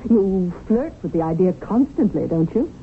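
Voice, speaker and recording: An actress playing a glamorous, greedy, seductive widow in a radio drama, coaxing and insistent. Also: female voice, medium pitch, animated, moderate pace, phone-quality sound, close to an everyday voice. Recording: thin radio broadcast, faint hiss.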